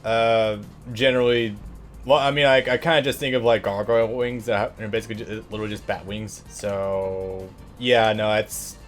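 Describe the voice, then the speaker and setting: A man's voice: lowish voice, flat delivery, moderate pace, soft background music. A man hosting a let's play, chatting casually as he comments on a video game. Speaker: host of a gaming let's play. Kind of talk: casual gameplay commentary chat.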